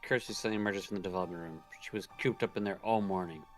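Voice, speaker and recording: A man's voice: sits low, racing, soft background music. A man co-reading a visual novel on a stream, in a casual, chatty style. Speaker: co-reader on a game stream.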